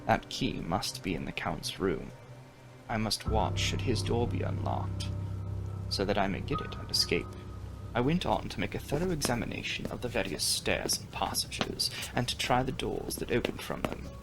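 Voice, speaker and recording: A man giving a theatrical, dramatic audiobook reading of a gothic novel, sometimes dropping into hushed, breathy passages. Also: on the low side, sing-song, moderate pace, poor audio. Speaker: audiobook reader. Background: music under the reading.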